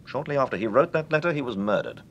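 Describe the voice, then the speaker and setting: A man with an English accent playing an urbane, composed, probing gentleman detective in an old-time radio drama. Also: animated, quick pace, dull audio. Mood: explanatory. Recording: noisy radio recording with low rumble.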